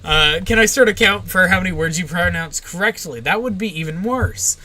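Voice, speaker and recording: A young woman with a general American accent, streaming live and reading viewer questions aloud. She gives short, deadpan answers with plenty of "uh" and "like". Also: fairly low pitch, a little fast. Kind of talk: casual livestream Q&A, answering chat.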